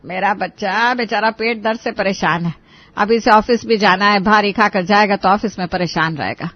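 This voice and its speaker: A woman's voice: mid-range voice, normal speed, highs cut off, lightly acted. A woman voicing an older, fond, commanding mother-in-law in a family cartoon, approving and instructing. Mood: approving, fussing. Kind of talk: Hindi family cartoon voice acting.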